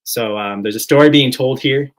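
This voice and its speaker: A man's voice: medium pitch, normal speed. A man, a musician guest, talking earnestly in a casual interview over a video call, with lots of filler words.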